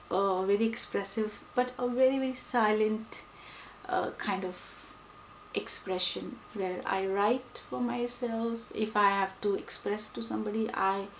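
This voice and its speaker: A young woman whose first language is Hindi, talking reflectively and pausing often with fillers. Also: mid-range voice, a little hiss or hum. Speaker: book vlogger. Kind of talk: reflective personal talk to camera. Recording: echoey room with faint ambience.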